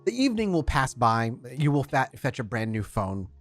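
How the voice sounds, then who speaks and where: A male game master narrating a tabletop game with a lively, rising and falling voice. He sets scenes and turns to the players with questions. Soft music runs in the background. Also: medium pitch, moderate pace, dry recording.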